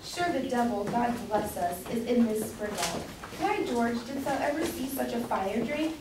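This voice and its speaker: A woman's voice: on the high side, normal speed, lightly acted. A woman playing a fussy, doting, meddlesome London citizen's wife in an amateur staged reading of a Jacobean comedy. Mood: fussing. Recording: distant echoey room, background hiss.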